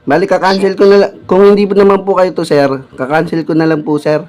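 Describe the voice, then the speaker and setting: A man's voice: high voice, flat delivery, quick pace, a little mellow. A Filipino man on a casual prank call, posing as a delivery rider with polite, hesitant patter. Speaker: prank-calling vlogger. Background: music.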